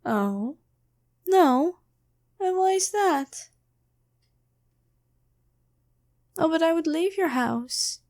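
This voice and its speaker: A woman's voice: very high-pitched, expressive, slow pace, darkish tone, voice pushed up, heavily acted. A woman voicing a taunting female demon in a possessed girlfriend's body, teasing and coolly bargaining in an ASMR fantasy roleplay. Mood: curious, amused.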